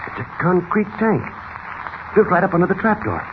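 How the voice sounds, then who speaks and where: An actor playing a cautious, commanding police commissioner, grave and urgent, in a 1940s radio serial. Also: male voice, sits high, expressive, normal speed. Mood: grim. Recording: muffled, noisy old radio recording.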